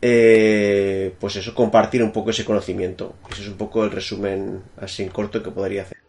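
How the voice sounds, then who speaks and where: A man's voice: lowish voice, flat delivery, a little fast, gravelly, darkish tone, slight background noise. Guest, male, Madrid accent, conversational, speaks over a remote call, searches for words as he talks. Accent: Madrid Spanish. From Spain. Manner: thinking aloud, many fillers and restarts. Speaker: guest, technical architect. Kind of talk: remote interview answers.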